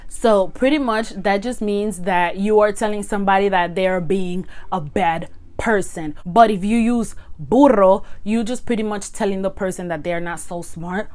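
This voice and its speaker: A young woman from Guatemala with a Guatemalan accent, casually teaching slang to the camera in a chatty, animated way. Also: mid-range voice, moderate pace, hardly any pauses. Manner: chatty and animated, gesturing with her hands. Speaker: vlogger. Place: small bedroom indoors.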